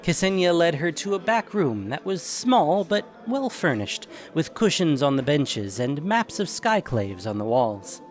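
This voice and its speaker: A man narrating a scripted fantasy story dramatically, with expressive, deliberate phrasing. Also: a little high.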